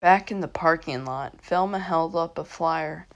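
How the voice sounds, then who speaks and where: A woman's voice: deep voice, unhurried, slight background noise. A young woman reading a children's mystery story as scripted narration. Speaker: storybook reader.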